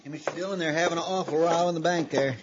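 An actor playing an eager, deferential deputy sidekick with a drawling rural Western American accent in a radio western. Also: male voice, a little high, steady tone, quick pace, natural voice. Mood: earnest, alert. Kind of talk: 1950s radio western acting. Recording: muffled, noisy old radio recording.

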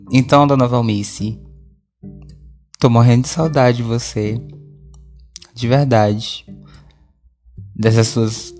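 A man's voice: lowish voice, darkish tone. A Brazilian man chatting casually and alone as a podcast host, rambling conversationally and correcting himself as he goes. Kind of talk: casual solo chat, audio diary. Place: at home, alone.

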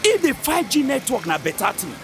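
A hot-headed Nigerian townsman, with a very high voice that swoops about. He argues theatrically and scornfully in Pidgin over background music. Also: sing-song, fairly quick, exaggerated voice. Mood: indignant. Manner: argumentative, theatrical, mocking. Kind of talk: radio drama acting in Nigerian Pidgin.

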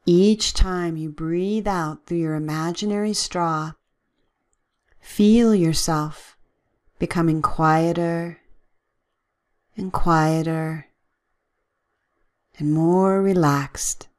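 A woman leading a guided relaxation with gentle, soothing, measured instructions and long pauses. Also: fairly low pitch, fairly even intonation.

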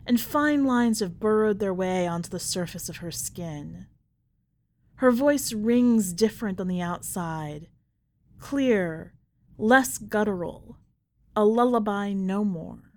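A woman reading a literary short story aloud in a measured, deliberate way. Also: medium pitch. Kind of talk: scripted literary narration.

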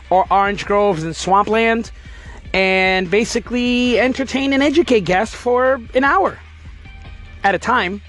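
High-voiced man giving an energetic pep talk. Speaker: podcast host. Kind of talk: casual motivational monologue.

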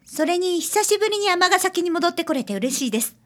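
A woman's voice: very high voice, expressive, a little fast, fairly bright tone. A woman playing a hesitant, very polite, apologetic young woman client in a radio drama. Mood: polite, guarded.

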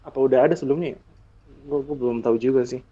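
A man's voice: mid-range voice, steady tone, very fast, warm tone, husky, muffled recording. A man with a Jakarta Indonesian accent chatting casually in a slangy, bantering way on a podcast.

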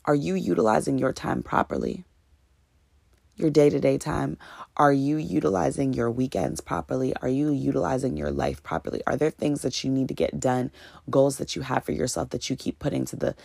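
A woman's voice: extremely low pitch, gravelly, a little mellow, dry recording, studio quality. A woman in her late twenties hosting a solo motivational podcast, talking straight to her listeners as family in an upbeat, preachy way. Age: 20s. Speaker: podcast host and business consultant.

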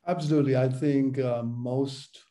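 A man's voice: mid-range voice, fairly even intonation, normal speed, mellow, a little hiss or hum. A middle-aged man hosting a podcast, earnest, sometimes stuttering on purpose. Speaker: podcast host. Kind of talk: conversational interview.

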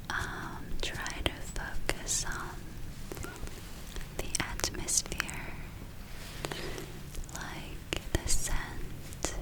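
A woman whispering in an ASMR roleplay as a gentle witch healer, speaking softly and soothingly with long, halting pauses. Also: rough recording. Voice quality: breathy whisper. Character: gentle, soothing witch giving a magic spa treatment.

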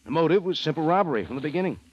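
A man's voice: fairly high pitch, animated, very fast, a little mellow, a little hiss or hum, lightly acted. A man acting a wry, tough American adventurer in a hard-boiled 1950s radio detective drama. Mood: knowing, casual. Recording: muffled old radio, music behind.

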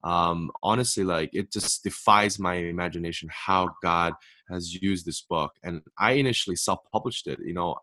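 A man's voice: sits low, normal speed, pushed and bright, dry recording. A young man whose first language is Korean, an author guest speaking earnestly on a faith podcast and restarting his words as he goes. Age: young adult. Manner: earnest, repeats words and restarts when emphatic. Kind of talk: faith-based podcast interview.

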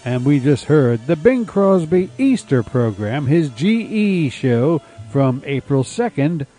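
A man with a slightly raised pitch and a mellow tone wraps up a radio segment in a friendly announcer style. Soft music plays behind him. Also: sing-song, slow. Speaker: radio program host. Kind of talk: scripted radio wrap-up.

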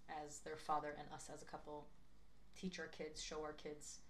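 A young woman co-hosting a podcast in casual chat, thinking out loud in an earnest way and often saying "like". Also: low-pitched, monotone, normal speed, a little hiss or hum. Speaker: podcast co-host.

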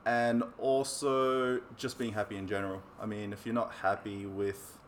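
A man's voice: lowish voice, normal speed, a little hiss or hum. A man, chatting easily as an interview guest and coach, with frequent ums. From New Zealand. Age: 20s. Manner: easygoing, many ums, relaxed laughs. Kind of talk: casual interview chat.

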